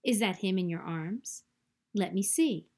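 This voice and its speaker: A woman voicing a friendly, chatty female skunk who speaks in an eager, excitable, squeaky way. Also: measured pace. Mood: wary.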